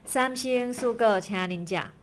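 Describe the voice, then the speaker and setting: Woman as a slow-spoken devout worshipper. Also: on the high side, lightly acted. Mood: reverent. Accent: Taiwanese. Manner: measured, ritual chanting delivery. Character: pious everyday female worshipper reciting offerings to gods.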